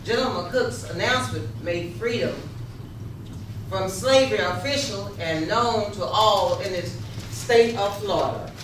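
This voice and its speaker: A woman reading historical narration for a readers theater, halting and stumbling over words now and then. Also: mid-range voice. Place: echoey room, live rehearsal. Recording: echoey, distant, noisy rehearsal room.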